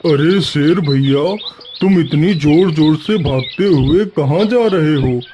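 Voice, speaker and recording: A man's voice: fairly high pitch, slow, mellow, slight background noise. A man voicing a curious, unafraid cartoon bear with a familiar, inquisitive manner. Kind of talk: Hindi cartoon story dialogue.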